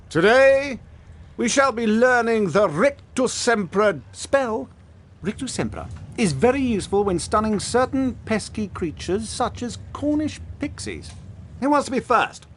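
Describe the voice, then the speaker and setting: A showy, self-congratulating wizard teacher from a video game. His voice sits high for a male and swoops widely as he preens with theatrical pomposity. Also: sing-song, unhurried, exaggerated voice. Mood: smug, showy. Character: vain, pompous celebrity wizard teacher, a man. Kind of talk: video game character acting.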